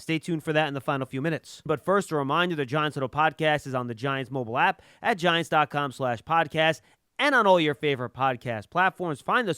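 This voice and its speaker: A man's voice: on the high side, a bit bright, smooth, dry recording, studio quality. A man hosting a sports podcast, introducing segments and putting questions to his guest. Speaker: podcast host and interviewer.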